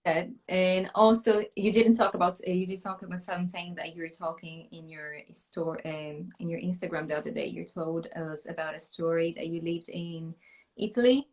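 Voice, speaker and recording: A woman with a somewhat low voice hosts a casual live chat. She asks her questions in a friendly, meandering way, pausing on fillers as she goes. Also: fairly even intonation. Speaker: interview host.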